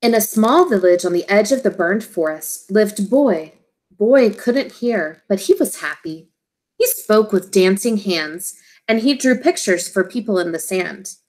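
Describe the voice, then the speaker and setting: A woman teacher reading a children's storybook aloud, expressively and in simple, deliberate phrases for young listeners. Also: medium pitch, lively intonation, a little mellow, rough recording.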